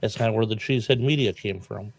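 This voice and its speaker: A man's voice: on the low side, fast. A man joining a live stream, giving friendly advice and padding his talk with "you know.". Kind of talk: casual advice chat.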